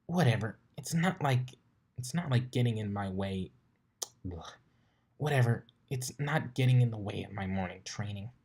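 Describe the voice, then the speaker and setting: A man's voice: fairly low pitch, lively intonation, moderate pace, a little mellow, husky. A man voicing a brash, cocky young female pegasus in a storybook reading, grumbling and exclaiming. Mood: dismissive.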